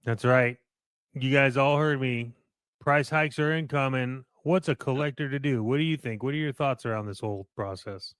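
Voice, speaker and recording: A man talks casually as a podcast co-host, giving his opinions plainly. His voice is clear and smooth at a middling pitch. The sound is dry, with very little room in it. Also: moderate pace, fairly bright tone, no echo.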